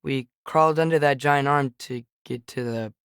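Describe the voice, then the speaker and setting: A man's voice: medium pitch, normal speed, a bit bright, smooth, no room sound, studio quality, natural voice. A young male actor playing an earnest, sensitive American teenage boy adventurer in a video game, speaking with a questioning, slightly hesitant manner. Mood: subdued, reflective. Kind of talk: video game character dialogue.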